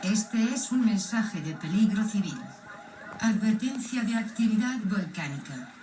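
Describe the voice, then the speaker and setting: A woman reading a formal scripted civil emergency message in a measured, impersonal manner. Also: mid-range voice, lively intonation, warm tone. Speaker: emergency alert announcer. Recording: echoey, distant, faintly noisy playback.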